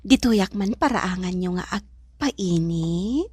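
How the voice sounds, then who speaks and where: A woman's voice: medium pitch, expressive, slow pace, a little mellow, breathy edge, no room sound, studio quality. A woman acting a coy, forward female neighbour who coos flirtatiously in an Ilocano radio comedy drama, with an Ilocano accent. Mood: coy, flirty. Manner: coy, cooing, giggly.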